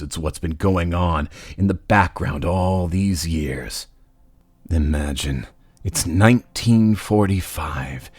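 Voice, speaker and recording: A man's voice: low-pitched, darkish tone, breathy edge, no echo, studio quality, full character voice. A man voicing a gloating, menacing, talkative supervillain in a comic book dub, with a taunting, condescending drawl. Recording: faint music behind.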